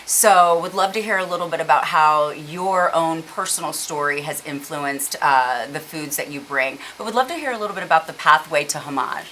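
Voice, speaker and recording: A woman's voice: deep voice, normal speed, hardly any pauses, a bit bright, slight background noise, low-quality recording. A woman hosting a local TV interview show, chatty and encouraging with her questions. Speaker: TV show host. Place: local TV studio.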